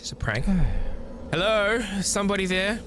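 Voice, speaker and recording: A man streaming a game, reading its dialogue aloud in character and switching between voices, with animated delivery. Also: sits high, unhurried, noisy background. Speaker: streamer playing horror visual novels.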